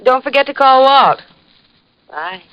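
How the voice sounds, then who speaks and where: A detective's affectionate sweetheart in a 1940s radio drama. She is solicitous and teasing, with an animated melody to her voice. The vintage recording is muffled. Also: high-pitched, measured pace. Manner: solicitous, playful.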